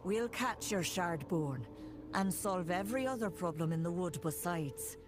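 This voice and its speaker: A woman playing a steady, practical member of a woodland circle in a fantasy game, measured and matter-of-fact. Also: fairly low pitch. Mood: calm, helpful. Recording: game score behind.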